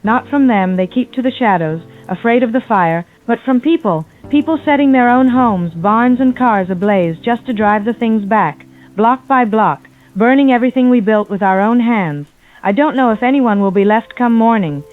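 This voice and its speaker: A woman's voice: a little high, expressive, moderate pace, natural voice. A woman playing a shaken, grieving survivor calling in to a radio drama, grave and haunted. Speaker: caller. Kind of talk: radio drama phone-in account. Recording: thin tinny phone line, music behind.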